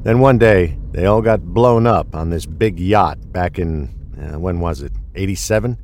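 An actor playing a weary, gruff older police detective nearing retirement in an audio drama. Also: male voice, unhurried, a little mellow, a little hiss or hum. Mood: grim recounting. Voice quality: low-pitched. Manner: curt, world-weary, swearing.

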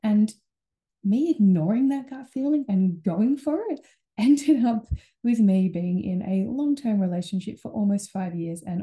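This is a young woman hosting a solo podcast. Her voice is very dark and mellow, with a gravelly edge of fry, and she talks in a loose, confiding way, full of ums and restarts. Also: mid-range voice. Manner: chatty and candid, many ums and restarts. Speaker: podcast host. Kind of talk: casual solo podcast talk.

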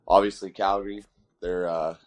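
Low voiced guy leaving a voicemail, kinda hesitant, lotsa uhs. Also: level tone, moderate pace. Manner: hesitant, lots of uh fillers. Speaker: voicemail caller. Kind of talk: casual voicemail message.

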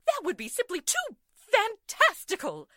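A woman's voice: extremely high pitch, huge pitch swings, a little slow, bright, forceful tone, no room sound, clean studio sound, exaggerated voice. An actress playing a melodramatic, gushing society mother with an overwrought manner in a 1940s-style radio drama. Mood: horrified.

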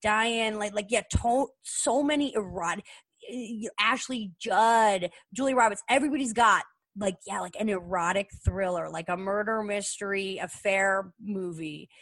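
American woman, podcast co-host. Gushing, rambling, profane casual talk; mid-range pitch, dry close recording. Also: moderate pace, fairly bright tone, dry recording. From New Jersey, United States. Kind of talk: casual, explicit pop-culture chat.

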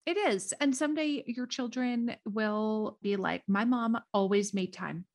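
A woman hosting her own podcast, chatting warmly and enthusiastically with a guest. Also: a little high, unhurried. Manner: enthusiastic, affirming. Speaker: podcast host. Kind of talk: casual interview chat.

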